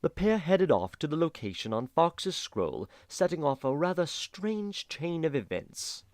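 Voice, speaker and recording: A man's voice: on the high side, big pitch swings, a little slow, darkish tone, dry recording. A man narrating a fan audio drama with dry, theatrical asides. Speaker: narrator voicing a headmaster.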